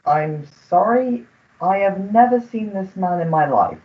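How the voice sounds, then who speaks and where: A woman playing a polite, formal manservant in a radio melodrama, with a deferential, measured manner. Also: mid-range voice, expressive, dull audio, natural voice. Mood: evasive, dry. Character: polite, dry, self-serving manservant to a gentleman. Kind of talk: melodramatic radio play acting.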